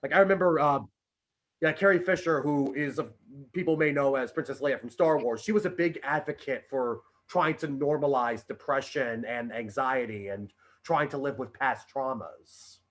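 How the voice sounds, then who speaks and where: A man's voice: medium pitch, normal speed, fairly bright tone. A man talking earnestly and conversationally in a panel discussion, as an actor. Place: virtual video call.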